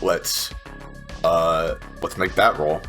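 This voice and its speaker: A man's voice: low-pitched, steady tone, slow pace, pushed and bright, faint music behind. A man playing a tabletop game, casually talking through rules and describing what his character does.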